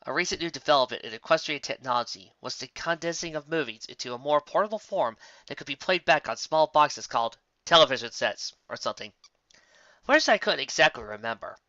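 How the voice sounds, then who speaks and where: Amateur fan fiction narrator: lively, bright delivery, dry close mic, slightly dull sound. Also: medium pitch, a little fast, no echo. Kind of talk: animated story narration with character voices.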